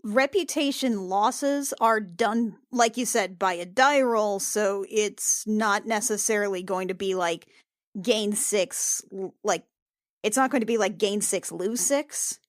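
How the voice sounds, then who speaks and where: A woman's voice: medium pitch, slow pace, dry recording, clean studio sound. A woman playing a tabletop game, talking casually and reading material aloud.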